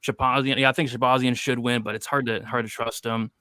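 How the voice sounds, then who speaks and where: Bright, clear-voiced young man hosting. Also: mid-range voice, little pitch movement, fast, dry recording, clean studio sound. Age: 20s. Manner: loose, jokey, reads chat questions aloud. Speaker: host of a betting show. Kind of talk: casual livestream chat.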